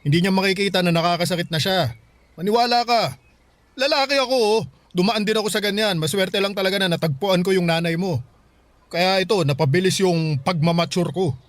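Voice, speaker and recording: An actor playing a caring, bewildered middle-aged Filipino father in a radio drama, speaking gently and questioningly. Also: sits high, brisk, no room sound, lightly acted. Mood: patient, advising. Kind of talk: Tagalog radio drama acting.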